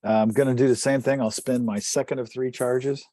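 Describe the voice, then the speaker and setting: A man's voice: mid-range voice, steady tone, moderate pace, dark tone, dry recording. A man bantering casually as a player in a tabletop game.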